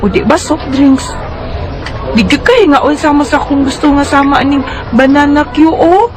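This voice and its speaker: A woman's voice: high-pitched, moderate pace, close to an everyday voice. A woman with a Bisaya Filipino accent acting a teasing, cautious schoolgirl in a radio drama, exclaiming and scolding. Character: teasing, cautious schoolgirl, Maria's close friend. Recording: music behind the dialogue.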